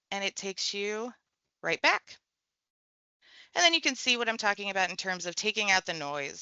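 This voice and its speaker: A woman walking through a software tutorial step by step, in a relaxed conversational way. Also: mid-range voice, clear, resonant tone, dry recording, highs cut off. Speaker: tech trainer. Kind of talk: screen-share software tutorial.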